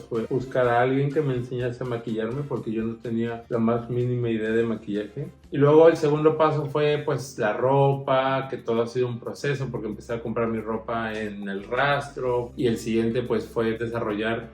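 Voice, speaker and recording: Drag performer, male, mid-pitched conversational delivery, echoey room with faint music. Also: steady tone, normal speed, few pauses, darkish tone. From Mexico. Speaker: drag queen street performer. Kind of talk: personal interview talk.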